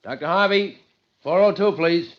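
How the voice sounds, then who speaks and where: An actor playing a casual, placating hospital attendant in an old radio drama. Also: male voice, high-pitched, moderate pace, natural voice. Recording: muffled vintage radio recording.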